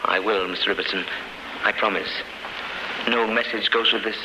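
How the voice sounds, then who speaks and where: A solemn, gentle prison chaplain, played by a male actor, with a lowish voice and formal, measured speech. It is old radio drama, heard very echoey through loud noise. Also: steady tone, dull audio, natural voice. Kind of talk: 1930s radio drama acting. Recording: very echoey, distant, loudly noisy old radio.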